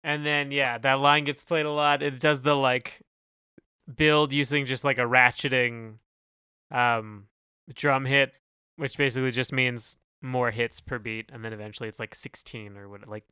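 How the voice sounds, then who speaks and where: A man's voice: mid-range voice, steady tone, moderate pace, pushed and bright, clear, resonant tone, no room sound, clean studio sound. A man co-hosting a casual music review podcast, speaking in a hesitant, loosely phrased way as he gives opinions. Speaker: podcast co-host.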